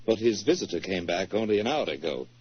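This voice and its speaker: An actor playing an obliging hotel manager, formal and deferential, in a 1940s radio drama. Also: male voice, deep voice, animated, quick pace, slightly rough. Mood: respectful. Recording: muffled old 1940s radio recording.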